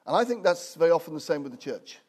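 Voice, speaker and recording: Guest preacher, man in his fifties, voice a bit higher than most, jovial and animated. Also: quick pace, breathy edge. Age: 50s. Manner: animated, rising loud and high when preaching; hushed, soft prayer. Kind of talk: sermon. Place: small church. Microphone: own recorder, no microphone.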